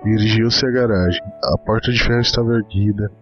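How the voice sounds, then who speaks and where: A man's voice: fairly low pitch, fairly even intonation, a little fast. A man narrating a short story as a scripted dramatic reading. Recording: dull lo-fi audio with music underneath.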